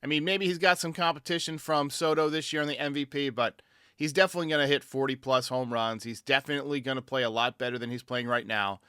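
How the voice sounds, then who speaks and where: A man's voice: medium pitch, fast, bright, forceful tone, clean tone, no room sound, clean studio sound. A man hosting a baseball fan show, giving his commentary in answer to callers. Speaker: sports show host.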